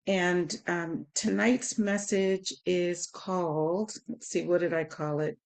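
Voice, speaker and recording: A woman with a husky, hoarse voice hosting a live Bible study in an informal, conversational way. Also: fairly low pitch, fairly even intonation, takes it slowly, a little mellow. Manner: informal, conversational, greets listeners by name. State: hoarse, sore voice. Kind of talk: live Bible teaching, conversational with scripture reading.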